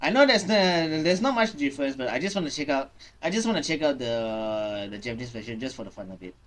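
A young adult man giving casual reaction commentary as a video host, halting and often repeating his phrases. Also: medium pitch, animated. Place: small home room indoors. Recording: loud music under the voice. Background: loud music from watched game trailer.

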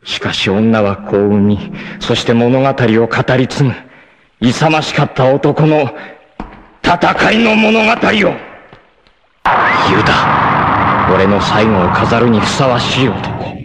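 Dying anime swordsman, proud and stoic, solemn, wildly swinging pitch, hissy old TV soundtrack. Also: very animated, takes it slowly, pauses often. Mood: grave. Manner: grave, solemn declarations with sudden anguished outbursts. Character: proud stoic young male martial artist, dying. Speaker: voice actor. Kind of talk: 1980s TV anime voice acting. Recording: old TV anime audio with hiss.

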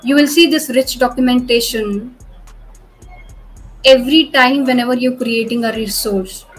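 Young woman, slightly high pitch, patient tech presenter on a laptop mic, filler-heavy delivery. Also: low-quality recording. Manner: frequent "okay" and "you know" fillers, patient explaining. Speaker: cloud tutorial presenter. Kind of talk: screen-share technical walkthrough.

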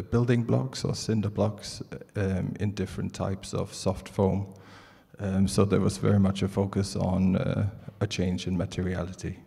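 A middle-aged Danish man with a Danish accent, giving a prepared artist's talk on stage in a halting, measured way. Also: on the low side, creaky, mellow, slightly rough. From Denmark. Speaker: artist presenting at a conference. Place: large indoor theatre stage. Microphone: handheld microphone. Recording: reverberant auditorium PA sound.

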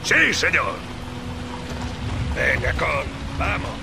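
An actor playing a brash, grumbling game soldier, excitable and sarcastic. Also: male voice, fairly high pitch, takes it slowly, over loud music, full character voice. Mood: excited, sarcastic. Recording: game audio with clear background noise.

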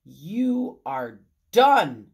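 A woman's voice: mid-range voice, sing-song, drawn-out words, darkish tone, slightly rough, pitched above the performer's own voice, full character voice. A woman voicing an exasperated but easily won-over housewife from a storybook, in emphatic, stretched-out exclamations. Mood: angry, final.

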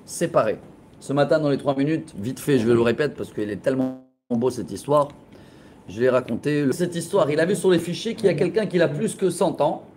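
Rabbi, 40s, animated storyteller, raised pitch, webcam in a classroom with faint hiss. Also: male voice, fairly quick. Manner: animated, laughs while telling stories, gestures a lot. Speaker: rabbi teaching a Torah class. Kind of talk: lively storytelling lesson. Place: classroom with bookshelves, indoors. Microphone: laptop webcam at close range.

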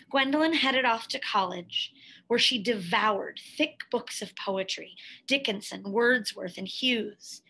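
A woman reading a picture book aloud, with careful, expressive storytelling phrasing. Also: on the high side, poor audio. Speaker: storybook reader.

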